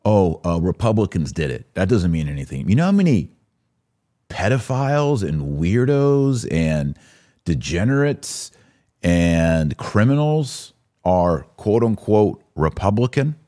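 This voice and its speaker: A man's voice: low voice, big pitch swings, a little slow, dark tone. A man hosting a podcast, giving opinionated live commentary in an emphatic way, with rhetorical questions and asides to his listeners. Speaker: podcast host.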